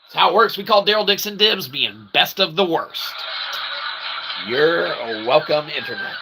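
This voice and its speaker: A man's voice: on the high side, animated, normal speed, heavy background noise. A man hosting a livestream in a rambling monologue, wordy and mock-formal, repeating himself emphatically with tag questions. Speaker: livestream host and self-published author.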